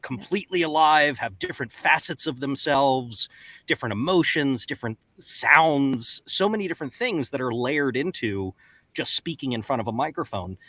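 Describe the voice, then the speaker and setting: A man's voice: medium pitch, lively intonation, moderate pace, sharp tone, dry recording. A man explaining the craft as a voice actor and coach on a panel, emphatic, into a close studio mic. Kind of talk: panel discussion. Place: home recording booth. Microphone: close studio microphone.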